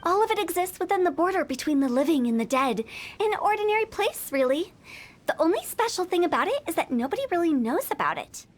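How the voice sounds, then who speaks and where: Hu Tao, a high, teasing young mortician. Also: female voice, big pitch swings, quick pace. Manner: teasing, breezy, mock-ominous. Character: playful, mischievous young female funeral director. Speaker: game character. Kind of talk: video game voice acting. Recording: game audio with background music.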